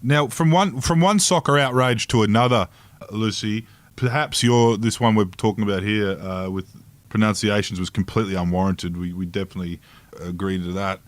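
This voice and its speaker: Jokey Australian host, dry close sound. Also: male voice, fairly low pitch, normal speed, dry recording. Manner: jokey, rambling questions. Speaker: podcast host. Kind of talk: casual interview chat.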